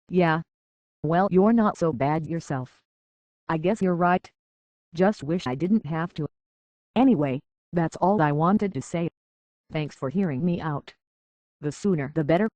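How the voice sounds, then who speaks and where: A man's voice: a little high, darkish tone, no echo, natural voice. A man playing a world-weary, decent android detective in a video game, speaking in a wry, plainspoken, reflective way on slightly dull audio. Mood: somber, sincere. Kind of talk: video game character dialogue.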